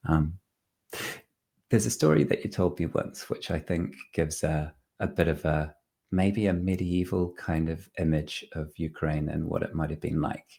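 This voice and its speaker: A New Zealand man hosts in a very low voice full of creak and husk. His interviewing is conversational and reflective, and he feels his way into each question. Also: steady tone, moderate pace, mellow. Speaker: podcast host.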